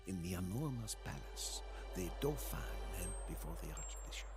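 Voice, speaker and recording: An actor playing a weary, devoted French soldier, narrating a war journal for a game in a solemn, dramatic, gravelly voice. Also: male voice, on the low side, heavily acted. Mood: reverent. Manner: solemn, dramatic, measured delivery. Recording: loud music under the voice.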